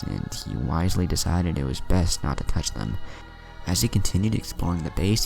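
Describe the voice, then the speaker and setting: A man reads a fan fiction story aloud with expressive storytelling. His voice is very low with a gravelly rasp, and his pitch moves lively through the lines. Music plays behind him. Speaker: story narrator.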